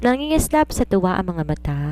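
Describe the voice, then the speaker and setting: A woman's voice: medium pitch, big pitch swings, a little fast, mellow, faint music behind. A woman reading romance fiction aloud as a scripted third-person narrator. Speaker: storyteller.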